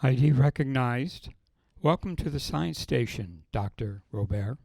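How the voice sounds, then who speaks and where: A man acting as an impersonal science-station computer in a radio play. The voice is gravelly and dry, giving formal automated announcements. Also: mid-range voice, animated, measured pace, a little mellow, no echo. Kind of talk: scripted audio drama acting.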